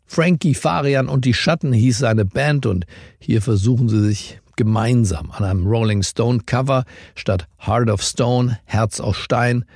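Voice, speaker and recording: Husky, creaky male podcast presenter. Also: mid-range voice, darkish tone, dry recording, studio quality. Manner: formal, wry, measured presenter delivery. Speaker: podcast host. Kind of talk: scripted morning briefing narration.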